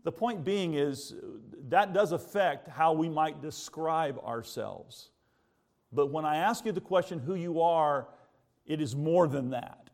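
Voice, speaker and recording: American man, preacher, somewhat high-pitched voice, conversational sermon, rhetorical questions, emphatic repetition. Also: slow, a little mellow. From United States.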